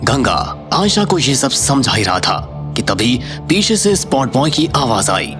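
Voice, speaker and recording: A man's voice: mid-range voice, sing-song, a little slow, over music. A man narrating a scripted audio story in a dramatic storytelling style.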